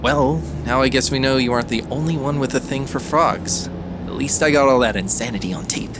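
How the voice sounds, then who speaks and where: An actor plays a young, excitable slacker musician in an audio drama. He is rambling and sardonic at a medium pitch, with faint noise around him. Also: brisk, lightly acted. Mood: sardonic, excited. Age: young adult.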